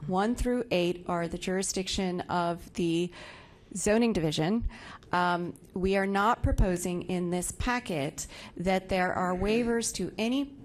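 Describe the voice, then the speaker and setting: A woman on county staff giving a measured, procedural presentation at a public zoning hearing. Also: lowish voice.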